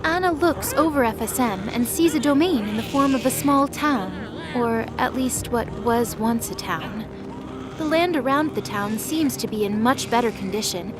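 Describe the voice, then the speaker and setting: A woman's voice: on the high side, background noise. A woman narrator reading a scripted mythological drama as story narration. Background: music.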